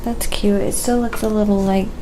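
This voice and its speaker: A soft-spoken, sometimes whispery woman chatting casually on an ASMR painting livestream, musing aloud in an unhurried way. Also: mid-range voice, steady tone, noisy background.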